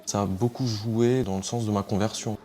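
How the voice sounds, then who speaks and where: A man's voice: fairly low pitch, steady tone, moderate pace, noisy background. A man giving a personal testimony in a reflective way, with frequent fillers. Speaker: interviewee.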